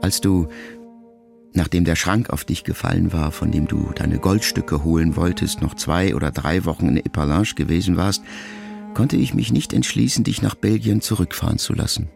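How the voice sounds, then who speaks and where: Middle-aged guy narrating an audiobook, low gravelly voice, music behind him. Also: a little mellow. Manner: measured, reflective first-person reading. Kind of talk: scripted literary narration.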